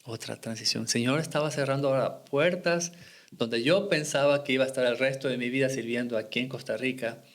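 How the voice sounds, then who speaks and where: A man's voice: mid-range voice, fairly quick. A middle-aged man from Ecuador, a missionary guest in a podcast interview, telling his faith story in an earnest, reflective way with short restarts.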